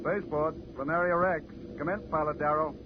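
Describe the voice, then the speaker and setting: A man's voice: a little high, steady tone, brisk. A man playing a businesslike spaceport controller over a radio link in a 1950s serial. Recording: noisy, tinny old radio recording.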